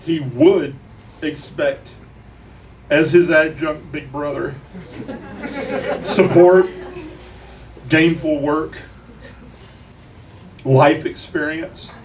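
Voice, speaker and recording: A grieving man delivers an emotional eulogy to a crowd, speaking deliberately, with profanity and wry humor. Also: fairly high pitch, fairly even intonation. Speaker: eulogist at a memorial. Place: crowded bar at a memorial. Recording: noisy live room, distant mic.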